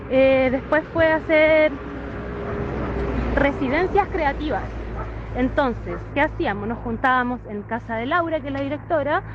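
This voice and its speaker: A high-voiced woman, speaking in a friendly, steady way as she explains her dance company's work. The recording is a little muffled, with a faint hiss behind her. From Chile. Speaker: dance theatre performer. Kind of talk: recorded voice message.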